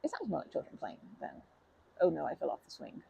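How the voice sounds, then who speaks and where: A woman playing a timid, kind park regular in an ASMR roleplay, speaking softly in halting, stammering, self-correcting sentences. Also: low-pitched, sing-song, creaky, slight background noise, exaggerated voice. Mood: nervous, hesitant. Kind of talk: ASMR roleplay, improvised-sounding intimate monologue.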